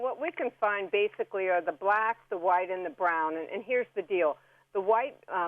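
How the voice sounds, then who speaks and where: A woman's voice: fairly low pitch, no room sound. A woman guest on a radio interview, explaining food and plants in a chatty, instructive way. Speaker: guest food and herb expert. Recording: phone-like narrow band radio call.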